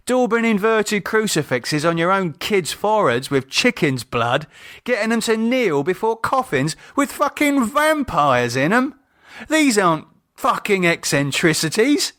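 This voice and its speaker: A man's voice: sits high, big pitch swings, measured pace, bright, forceful tone, dry recording, studio quality, pitched above the performer's own voice, full character voice. Man acting a sceptical, sardonic English brother. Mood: incredulous, appalled. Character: sullen, sceptical English brother, former army medic. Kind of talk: audiobook character acting.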